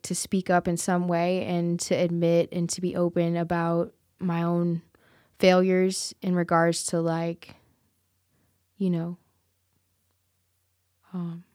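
Woman, singer, interview guest, smooth lowish voice, dry close sound, earnest, lots of "um" and "you know". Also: dry recording. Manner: earnest, candid, many fillers and restarts. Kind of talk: casual interview chat.

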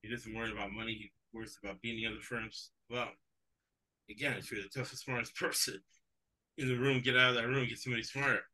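A man's voice: mid-range voice, flat delivery, fast, bright, forceful tone, low-quality recording. A man, English his second language, hosting a sports betting podcast in casual, rambling chat. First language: not English. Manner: rambling, digressive, chuckles often.

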